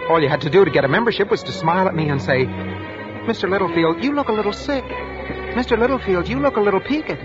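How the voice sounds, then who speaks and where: An actor playing a meek, touchy, hesitant bookkeeper in an old radio drama, recorded with muffled vintage sound. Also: male voice, high-pitched, lively intonation, fast talker, music in the background. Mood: bitter, aggrieved. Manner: hesitant, stammering, defensive. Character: meek, jittery, touchy male bookkeeper, henpecked daydreamer. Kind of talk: 1940s radio drama acting with first-person narration.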